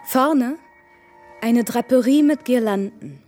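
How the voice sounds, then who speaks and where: Radio drama role: a brisk young mistress of the house, higher-pitched, matter-of-fact, over soft music. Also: female voice. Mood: brisk, organising. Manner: businesslike, matter-of-fact, bossy. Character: brisk, practical young Venetian woman running a household. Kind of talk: German radio play acting.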